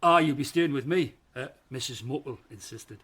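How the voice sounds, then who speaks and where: An actor playing an affable, flustered chief constable with a Northern English accent, hesitant and polite, in a radio drama. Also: male voice, mid-range voice, moderate pace, fairly bright tone, husky. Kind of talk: BBC radio drama acting.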